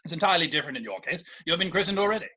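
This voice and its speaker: A man acting an earnest, exasperated young gentleman in a Victorian stage comedy, speaking formally and with indignant emphasis. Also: fairly high pitch, expressive, racing, breathy edge, full character voice. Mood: insistent.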